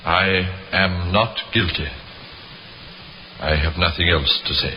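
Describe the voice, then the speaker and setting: An actor playing a weary, earnest condemned prisoner in a 1950s radio drama, speaking in subdued, short phrases. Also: male voice, low-pitched, slow, over loud music. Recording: very noisy, dull old radio recording.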